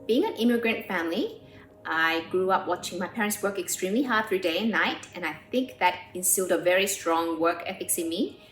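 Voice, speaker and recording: Woman, thirties, born in South Korea: earnest pianist interviewee, low-ish voice, roomy sound, faint music behind. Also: lively intonation. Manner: earnest and warm, with frequent "um" pauses. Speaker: pianist and composer. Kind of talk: documentary interview.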